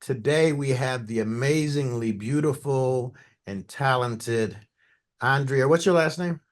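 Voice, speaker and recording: A man hosts a conversation podcast in a relaxed interviewing manner. He reflects aloud between his questions and restarts his words now and then. His voice sits at a middling pitch. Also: unhurried. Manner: warm, reflective, with false starts. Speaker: podcast host. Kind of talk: casual interview.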